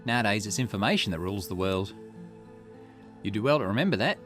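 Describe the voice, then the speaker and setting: A man's voice: fairly low pitch, lively intonation, fast, a bit bright. A man voicing an eccentric, secretive private detective in a visual novel, lecturing pompously. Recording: music behind the voice.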